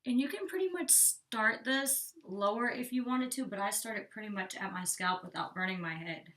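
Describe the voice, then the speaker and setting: A woman's voice: mid-range voice, normal speed, fairly bright tone. A young woman chatting casually through a hair tutorial, rambling with lots of "like", a bit congested and out of breath. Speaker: beauty vlogger. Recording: distant from the mic.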